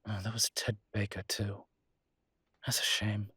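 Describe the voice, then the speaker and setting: A man playing a suave ancient demon professor in an intimate roleplay audio drama, with a husky, breathy low voice and a dry, teasing, urbane manner. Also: level tone, measured pace, bright, forceful tone, dry recording, heavily acted. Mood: rueful, dry.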